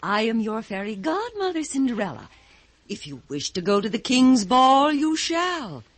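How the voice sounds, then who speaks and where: An actress playing a gentle but commanding fairy godmother, speaking grandly in a storybook radio play. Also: on the high side, sing-song, normal speed. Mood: soothing, commanding. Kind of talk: old-time radio fairy-tale acting.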